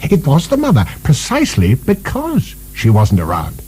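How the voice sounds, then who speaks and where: A man's voice: mid-range voice, wildly swooping pitch, moderate pace. An actor playing an earnest, fanciful male asylum director in a radio drama, musing and hesitant as he searches for words. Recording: soft recording with faint hum.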